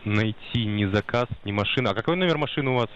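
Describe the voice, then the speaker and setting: Low-voiced, hesitant male phone operator. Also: lively intonation, fast, crowd noise. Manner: hesitant, polite, apologetic. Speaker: freight company phone operator. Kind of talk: customer service phone call. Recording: dull phone call audio.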